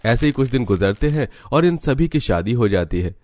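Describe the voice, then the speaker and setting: A man's voice: medium pitch, lively intonation, moderate pace, a little mellow, no room sound. A man narrating a scripted cartoon story like a dramatic storyteller.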